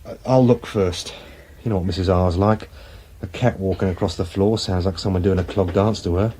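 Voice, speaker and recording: An actor playing a nervy, wry English street busker in a British radio drama, grumbling in dry slang. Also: male voice, sits low, fairly even intonation, moderate pace, dark tone, a little hiss or hum, lightly acted. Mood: subdued, wry.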